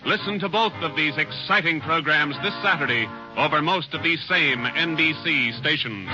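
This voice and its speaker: A man's voice: fairly high pitch, normal speed. An American man working as a radio announcer, reading a script in a formal 1940s broadcast style. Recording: dull old radio recording with music.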